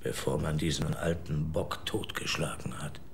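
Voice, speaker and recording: An actor in a radio drama playing a massive, brooding man who guards a dark warehouse and speaks in a slow, hushed whisper. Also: gravelly, a little hiss or hum. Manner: slow, low, whispered menace.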